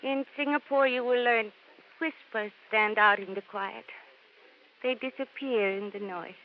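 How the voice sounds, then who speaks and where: An actress playing an alluring, guarded Singapore bar woman who speaks formal, halting broken English in a 1950s radio drama. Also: fairly high pitch. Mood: cool, probing. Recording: dull 1950s radio recording, faint noise.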